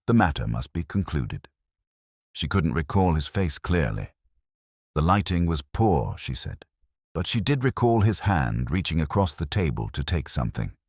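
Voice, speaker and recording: A man playing a brooding English police detective, narrating a mystery drama in a measured, literary and melancholy way. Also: extremely low pitch, darkish tone, dry recording, studio quality, full character voice. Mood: brooding, grave. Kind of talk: scripted first-person mystery narration.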